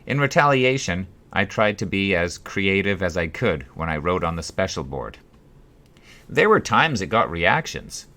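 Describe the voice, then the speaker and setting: A man reading his own first-person story as an audiobook, with measured, deliberate phrasing. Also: low voice, sing-song, pushed and bright. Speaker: author reading own book.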